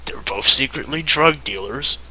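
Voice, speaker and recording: A man's voice: medium pitch, animated, moderate pace, crowd noise, low-quality recording. A young man giving a mocking, theatrical reading of amateur fiction, often breaking off for joking asides. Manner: theatrical, breaks off to joke and giggle. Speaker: amateur reader of fan fiction.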